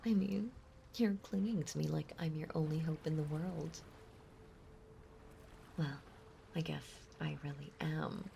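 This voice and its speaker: Woman voicing a lovesick yandere mermaid, slightly low, very slow, breathy whispers, clingy and doting, audio roleplay. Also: warm tone, slight background noise, full character voice. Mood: tender, possessive. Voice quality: breathy, often dropping into whispers. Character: possessive, lovesick yandere mermaid monster girl. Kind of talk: intimate scripted audio roleplay monologue. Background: ocean ambience.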